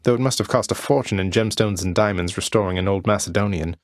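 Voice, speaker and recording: An actor playing a wry young prince of the underworld in a video game, courteous with a dry, understated sarcasm. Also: male voice, lowish voice, fast talker, no echo, natural voice. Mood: dry, taunting. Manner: dry, understated, politely sardonic. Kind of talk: video game character voice lines.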